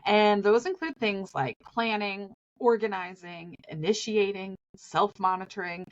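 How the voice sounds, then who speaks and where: Sniffly Southern woman in her forties, chatty podcast co-host with a rambling, laugh-filled delivery and a slightly dull sound. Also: mid-range voice. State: sniffly from allergies. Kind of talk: casual podcast chat.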